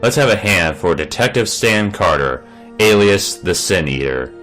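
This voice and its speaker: A man voices a cartoon talk show host, smooth and tactless. His pitch runs low and his delivery is slow, with faint music underneath. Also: lively intonation, fairly bright tone. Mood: breezy.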